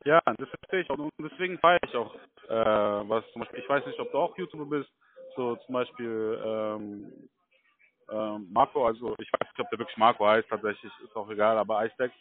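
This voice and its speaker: Halting man on a tinny phone line. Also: fairly low pitch. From Germany. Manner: halting, repetitive, insistent checking tags. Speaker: call center recruiter. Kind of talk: casual phone conversation. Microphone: telephone.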